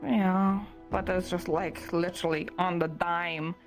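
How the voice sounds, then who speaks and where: A woman acts out a chatty young adventurer in a fantasy roleplay. She rambles casually in slangy modern speech at a relaxed, slow pace. Soft music plays faintly underneath. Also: lowish voice. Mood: uneasy, earnest. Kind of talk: improvised in-character roleplay, casual chat.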